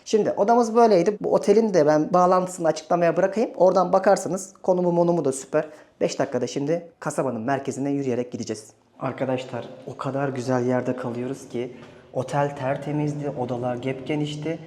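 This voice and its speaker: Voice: medium pitch, steady tone, fast, mellow. A travel vlogger from Turkey talking casually and conversationally, addressing viewers directly.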